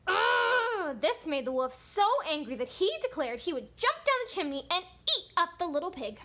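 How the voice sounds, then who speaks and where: Female storytime teacher: bright, high voice, lively swoops, speaking to young listeners. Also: normal speed. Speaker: children's teacher reading aloud. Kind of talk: animated storybook read-aloud for children.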